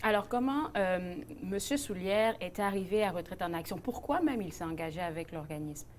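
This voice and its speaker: A woman's voice: lowish voice, animated. A woman hosting a community talk show, introducing guests and interviewing in a friendly, conversational way. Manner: friendly, conversational, quick to affirm. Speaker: TV show host.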